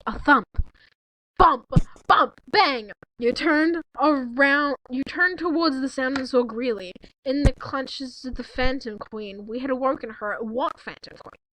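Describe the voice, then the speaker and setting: A woman's voice: sits high, measured pace, a bit bright, poor audio. A young woman reading a story aloud in a casual, incredulous way, breaking off into informal asides. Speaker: co-host reading fan fiction aloud. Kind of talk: casual read-aloud with mocking commentary.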